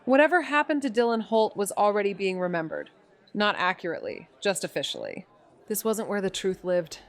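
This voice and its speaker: An actress playing a wry, watchful young amateur sleuth in a noir audio drama, dry and understated. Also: female voice, mid-range voice, big pitch swings, brisk. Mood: wry, brooding. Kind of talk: noir audio drama dialogue and first-person narration.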